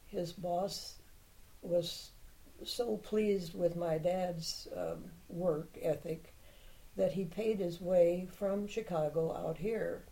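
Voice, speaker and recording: An older woman, a native Czech speaker, talks slowly and at length, reminiscing as she goes. Her voice sits a little low and sounds warm and mellow. She says what she thinks without fuss. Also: low-quality recording. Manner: unhurried reminiscing, plainspoken opinions. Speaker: interviewee, Czech heritage club member. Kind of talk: oral history interview.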